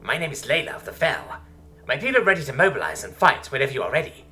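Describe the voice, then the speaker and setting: A man voicing a brisk, businesslike female dolphin leader with a formal manner in a story. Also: on the high side, big pitch swings, sharp tone, faint music behind, voice pushed up, heavily acted.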